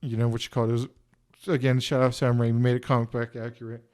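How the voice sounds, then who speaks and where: A man's voice: mid-range voice, little pitch movement, fast talker, darkish tone. Rambling young man in his twenties. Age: 20s. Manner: rambling, lots of "like" and "you know" fillers. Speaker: podcast co-host. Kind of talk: casual film review chat.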